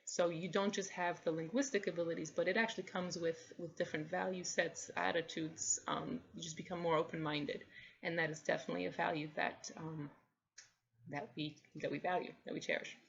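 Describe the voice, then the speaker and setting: A young adult woman, a native Polish speaker from Poland, explaining thoughtfully in a casual talk to camera, often pausing with ums and restarting phrases. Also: lowish voice, fairly even intonation, creaky, muffled recording. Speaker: vlogger, parent.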